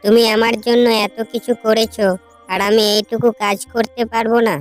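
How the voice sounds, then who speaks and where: A woman's voice: on the high side, little pitch movement, normal speed, soft background music, natural voice. A woman voicing a kind, anxious young village boy in a cartoon, earnest and pleading. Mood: worried, caring.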